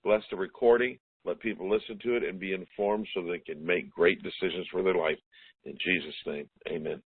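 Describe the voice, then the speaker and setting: A man's voice: low voice, steady tone, a little fast, breathy edge, no echo. A man who is a pastor, leading a closing prayer on a conference call after some teasing. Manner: teasing, then solemn prayer. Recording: tinny phone line.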